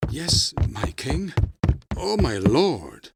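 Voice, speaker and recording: A man's voice: on the high side, very animated, drawn-out words, creaky, heavy background noise, full character voice. A man playing a timid palace guard in a fantasy audio drama, stammering and deferential. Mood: nervous, apologetic.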